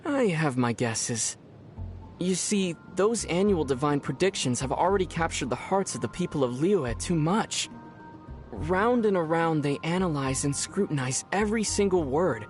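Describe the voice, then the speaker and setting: A man voicing a calm, wry young adventurer in scripted game dialogue, speaking in a measured, articulate way. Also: on the high side, faint music behind, close to an everyday voice. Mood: explaining.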